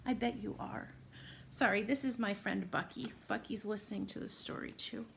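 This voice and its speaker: A woman's voice: mid-range voice, steady tone, moderate pace, creaky, a bit bright, a little hiss or hum. A woman reading a storybook aloud to young children, encouraging them and putting questions to them directly as friends. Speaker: children's read-aloud presenter.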